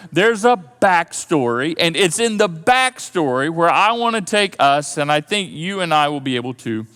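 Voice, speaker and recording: A man preaching a sermon as pastor, telling a story in an animated way and often repeating words as he thinks aloud. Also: high voice. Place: church auditorium. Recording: long church hall reverb.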